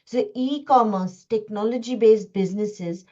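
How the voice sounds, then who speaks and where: A woman from India giving an earnest webinar talk over a video call, halting and full of restarts and fillers. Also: medium pitch, darkish tone. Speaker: guest speaker. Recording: dull lo-fi call audio.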